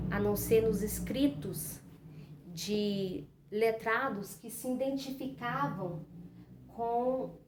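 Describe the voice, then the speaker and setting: A woman from Brazil presenting and explaining a book chapter in an earnest, informal way, often saying "então" and "né". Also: medium pitch. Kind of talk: informal spoken book commentary. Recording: echoey room, faint noise.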